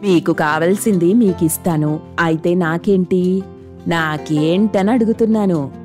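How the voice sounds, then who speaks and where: A woman's voice: fairly low pitch, measured pace, soft background music, natural voice. A woman playing a greedy, nagging middle-aged mother-in-law who scolds and demands in a cartoon moral story. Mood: haughty, demanding. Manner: scolding, demanding, sharp-tongued. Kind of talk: dramatic Telugu moral story cartoon dialogue.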